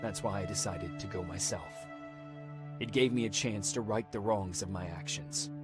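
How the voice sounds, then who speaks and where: An actor playing a weary, earnest resistance soldier in a video game drama, with a sombre manner. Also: male voice, deep voice, moderate pace, natural voice. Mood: sombre, reflective. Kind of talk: dramatic game dialogue and reflective narration. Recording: loud music behind the voice.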